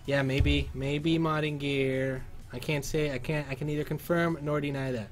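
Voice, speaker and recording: An upbeat man from Cuba chatting casually on a livestream, his voice sitting a little high. He talks into a headset in an indoor studio, with faint music playing underneath. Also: steady tone, moderate pace. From Cuban. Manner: upbeat, reads chat aloud, sings along. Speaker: livestream host.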